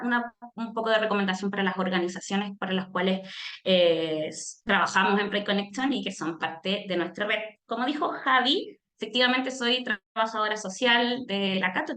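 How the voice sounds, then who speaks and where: A woman with a Chilean accent giving a personal talk in a reflective, explanatory way, often pausing on filler sounds as she thinks. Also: mid-range voice, a bit bright, roomy sound. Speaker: speaker, social worker giving a talk. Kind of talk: personal testimony in a presentation.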